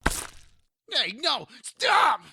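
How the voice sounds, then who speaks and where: A man's voice: very high voice, wildly swooping pitch, very slow, gravelly, noisy background, heavily acted. A man playing a cowardly, put-upon demon underling in an audio drama, whiny and indignant. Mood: pained, pleading.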